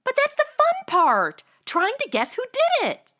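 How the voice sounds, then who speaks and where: A woman's voice: very high-pitched, wildly swooping pitch, measured pace, pushed and bright, heavily acted. An actress playing a brash, nosy, flippant young modern woman in an audio comedy, talking in casual, sassy slang. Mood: excited. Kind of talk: comedy audio drama acting.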